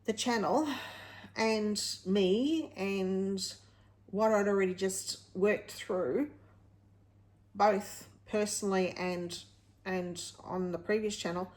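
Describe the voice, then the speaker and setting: A woman, talking candidly to viewers in a casual, rambling vlog style, often correcting herself. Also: medium pitch. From Australia. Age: late 50s. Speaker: vlog host.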